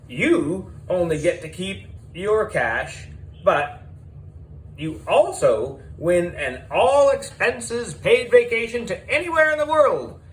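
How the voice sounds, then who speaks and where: A showy female teacher playing game-show host, performed by a man, in a storybook reading. The pitch is high and lively, drawn out for big announcements over faint noise. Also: slow, heavily acted, rough recording. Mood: showy, excited. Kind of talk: children's audiobook character voice.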